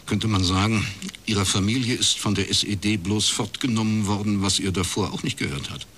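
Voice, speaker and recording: An actor playing a dry, probing private detective, matter-of-fact and laconic in a radio crime drama. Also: male voice, on the low side, fairly quick, fairly bright tone, slight background noise, close to an everyday voice. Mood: probing, measured. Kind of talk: radio drama dialogue and first-person narration.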